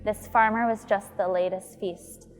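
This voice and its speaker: A woman's voice: mid-range voice, steady tone, unhurried. Woman narrating horror over faint music. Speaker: story narrator. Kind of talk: scripted horror story narration.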